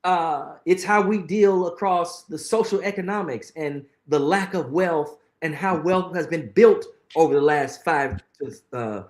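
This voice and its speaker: A middle-aged man with a somewhat high voice talks earnestly in an interview, pressing his points and repeating phrases for weight. The sound carries a noticeable room echo. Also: unhurried, hardly any pauses. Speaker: guest. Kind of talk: conversational interview, reflective storytelling.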